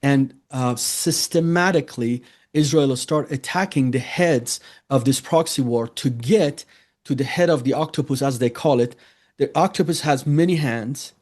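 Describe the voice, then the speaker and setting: A man's voice: medium pitch, normal speed, a little mellow. A man from Iran with an Iranian accent, a native Farsi speaker, answering interview questions earnestly with many fillers and restarts. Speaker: interviewee, ministry leader.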